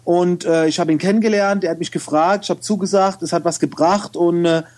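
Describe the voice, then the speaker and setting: A man's voice: high-pitched, steady tone, fairly quick, a little mellow. A man with a regional German dialect, talking casually as an interview guest with many fillers and restarts. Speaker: guest, photo retoucher and video trainer.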